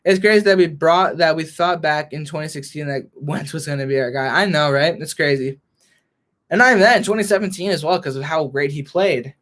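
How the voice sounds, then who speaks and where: A young man ranting as a frustrated sports fan vlogger, hoarse and losing his voice, reading and answering chat. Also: fairly high pitch, fairly quick, fairly bright tone. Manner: frustrated, heated rant.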